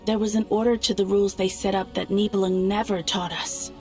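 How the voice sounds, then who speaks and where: A woman playing a grave, stately moon goddess in a game cutscene, speaking calmly and deliberately in formal phrasing. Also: medium pitch, close to an everyday voice. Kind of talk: dramatic game cutscene acting. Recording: background music under the voice.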